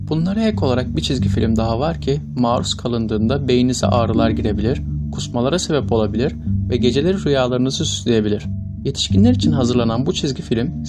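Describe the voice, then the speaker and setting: Low-pitched man narrating over music. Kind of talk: scripted narration of trivia video. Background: background music.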